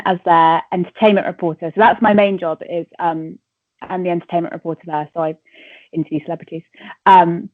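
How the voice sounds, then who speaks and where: A woman's voice: fairly low pitch, dry recording. A woman in her twenties from Wales with a southern English accent, chatting casually and giggly as a radio reporter being interviewed. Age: 20s. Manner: chatty and informal, giggles often, frequent "like". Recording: thin, narrow phone-like call audio.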